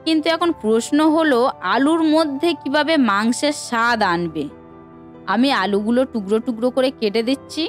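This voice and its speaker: Woman acting a high-voiced, supportive cartoon village wife. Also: moderate pace, soft background music, close to an everyday voice. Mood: encouraging. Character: supportive, encouraging village wife of a biryani cook. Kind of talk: Bengali cartoon story voice acting.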